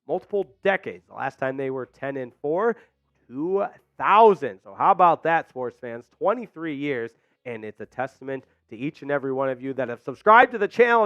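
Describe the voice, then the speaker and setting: A man in his thirties hosting a live sports show on a headset mic, energetic and emphatic, slightly hoarse. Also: on the high side, dry recording, highs cut off. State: losing his voice. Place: small studio, indoors.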